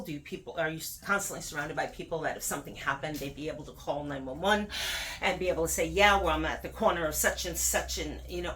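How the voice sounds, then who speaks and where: A woman with a slightly low voice talks casually and directly to her viewers, as a video host handing out advice. She is emphatic and conversational, often repeating words for stress. There is some noise around her voice.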